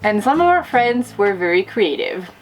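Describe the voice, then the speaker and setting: A woman from France speaks with a French accent in a chatty, self-mocking vlog voice. Her pitch sits in the middle range, and the tone is casual and playful. Soft music plays faintly underneath. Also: big pitch swings, moderate pace, rough recording. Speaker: vlogger.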